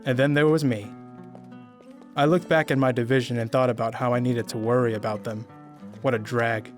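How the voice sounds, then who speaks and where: A young man reading a first-person audiobook story in a slow, measured, brooding way. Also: mid-range voice, fairly even intonation, soft background music. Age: young adult. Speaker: narrator.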